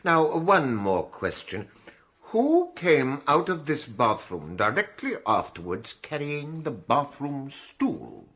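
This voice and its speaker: English chief constable, 1920s radio mystery, clipped, dryly amused, swooping intonation, husky. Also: male voice, on the high side, huge pitch swings, slow, fairly bright tone, exaggerated voice. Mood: measured questioning. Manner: authoritative, clipped, dry questioning. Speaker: actor in a radio drama. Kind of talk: radio mystery drama acting.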